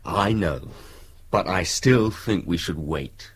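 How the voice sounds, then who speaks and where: A level-headed British son in a radio drama. His low, husky voice is understated, reasoning and a little reproving. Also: lively intonation, slow, heavily acted. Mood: cautious. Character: cautious, level-headed adult son of the family.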